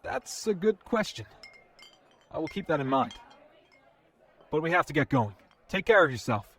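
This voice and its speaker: A man's voice: on the high side, sing-song, normal speed, fairly bright tone, husky, full character voice. A man playing a polite, level-headed adventurer with formal wording in a fantasy audio drama.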